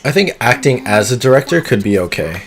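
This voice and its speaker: A man's voice: medium pitch, big pitch swings, fairly quick. A man streaming a game live, making casual offhand asides. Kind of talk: live gameplay commentary.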